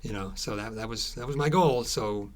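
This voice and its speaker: A man speaking as an interviewed author, telling stories conversationally in a halting way, repeating words and trailing into asides. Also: fairly low pitch.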